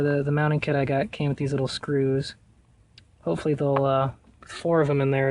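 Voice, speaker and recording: A man giving a casual, hands-on do-it-yourself tutorial in a halting, thinking-aloud way. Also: fairly high pitch, a little mellow. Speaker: DIY car project vlogger. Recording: noisy recording with clicks.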